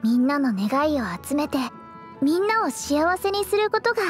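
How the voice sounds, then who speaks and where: Very high-pitched, sweet idol girl. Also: sing-song, moderate pace. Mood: dreamy, earnest. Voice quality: girlish, sweet. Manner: earnest, polite, gently encouraging. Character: cheerful, sweet teenage girl idol healer. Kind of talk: anime game character voice lines. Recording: studio voice over game music.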